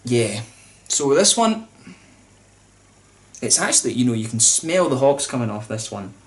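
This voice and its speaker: A man's voice: medium pitch, big pitch swings, moderate pace. A man, chatting casually to camera as he reviews a beer, with lots of fillers and restarts. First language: English.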